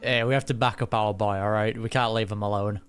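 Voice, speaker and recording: A man's voice: on the low side, steady tone, fairly quick. A man giving casual reaction commentary on a game, informal and speculating aloud. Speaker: gaming reaction streamer. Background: game music.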